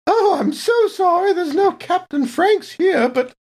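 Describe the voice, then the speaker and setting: A man's voice: very high-pitched, lively intonation, a little slow, pitched above the performer's own voice, full character voice. A man voicing a mocking, falsely apologetic character over a spaceship intercom in a tabletop game.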